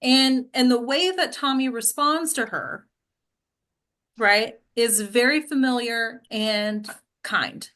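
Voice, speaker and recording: A woman's voice: fairly high pitch, takes it slowly, bright, forceful tone. A woman leading an informal group discussion as an acting coach, speaking in an encouraging, emphatic way with frequent check-in questions.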